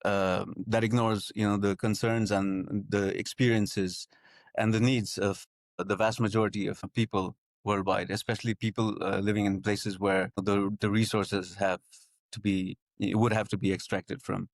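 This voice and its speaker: Hesitant man with a low, dry voice. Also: flat delivery, moderate pace, vocal fry, no room sound. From Nepal. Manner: hesitant, many fillers and restarts. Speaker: guest. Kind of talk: conversational interview.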